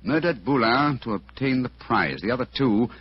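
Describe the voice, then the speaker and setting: An actor playing an exacting English gentleman detective in a radio drama, incisive and articulate. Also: mid-range voice, animated, moderate pace, lightly acted. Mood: determined. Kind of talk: old-time radio drama acting. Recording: dull, hissy old radio recording.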